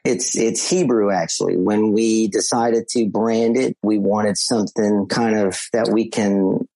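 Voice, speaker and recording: A man talks in a relaxed, easygoing way and often doubles back on his words. His voice is low and a little husky. The sound is dry and close to the mic. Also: unhurried, no echo, low-quality recording. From South Louisiana. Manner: easygoing, repeats words while thinking. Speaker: podcast guest, beekeeper. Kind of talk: casual interview chat.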